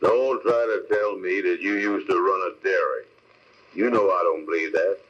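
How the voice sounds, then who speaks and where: Low voiced skeptical cab driver, nosy and folksy, old dull sounding 1940s radio. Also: male voice, steady tone, moderate pace, fairly bright tone. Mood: doubtful, puzzled. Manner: doubting, questioning, folksy. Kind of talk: 1940s radio comedy acting.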